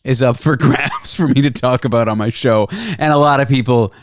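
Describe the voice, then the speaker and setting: A man in his thirties hosting a podcast, chatting casually with teasing, deadpan jokes. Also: medium pitch, fairly quick, dry recording, studio quality. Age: 30s. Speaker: podcast host. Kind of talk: casual comedic chat.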